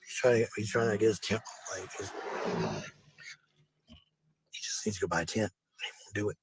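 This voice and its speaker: A man's voice: fairly low pitch, steady tone, normal speed, slight background noise, low-quality recording. A man telling personal stories in a casual, blunt, defensive way, with some swearing. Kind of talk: casual personal storytelling and venting.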